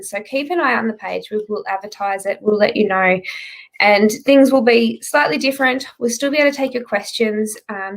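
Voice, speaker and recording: A woman's voice: mid-range voice, rough recording. A woman hosting a live-streamed country music show, chatting and greeting viewers by name.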